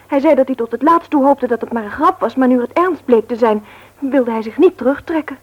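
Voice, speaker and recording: A woman's voice: high voice, fairly quick, husky. An actress playing a troubled, earnest young woman in a Dutch radio drama, speaking breathily, politely and hesitantly. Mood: earnest, anxious. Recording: dull, lo-fi old radio recording.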